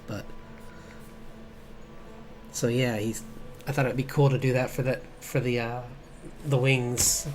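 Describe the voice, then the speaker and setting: A man streaming as he draws, chatting casually in a halting, rambling way as an artist. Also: mid-range voice, fairly even intonation, slight background noise. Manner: halting, rambling, chuckling asides. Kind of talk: casual livestream chat while drawing. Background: background music.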